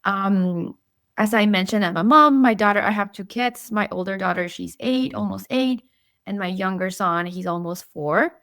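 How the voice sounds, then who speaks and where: Mexican lady in her 30s, friendly podcast guest, gravelly voice, dry close sound. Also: medium pitch, normal speed, fairly bright tone, dry recording. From Monterrey, Mexico. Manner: friendly, with frequent restarts and light laughs. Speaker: guest psychologist and sleep coach. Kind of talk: casual interview chat.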